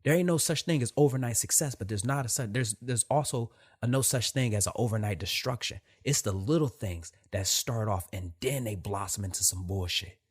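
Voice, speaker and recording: A young man from Detroit with a soft voice, hosting a casual podcast monologue, repeating phrases and swearing as he tells a story. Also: on the low side, a little fast, hardly any pauses, breathy edge. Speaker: podcast host.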